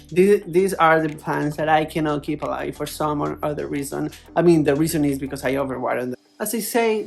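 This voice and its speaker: A woman's voice: on the low side, a little mellow. A woman with a foreign accent in English, chatting casually and a little hesitantly in a playful, informal vlog style. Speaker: plant vlogger. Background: music.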